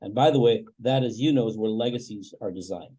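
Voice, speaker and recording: A man from Canada, a family wealth coach, answering interview questions in a reflective, measured way with many fillers. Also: fairly low pitch, mellow, husky.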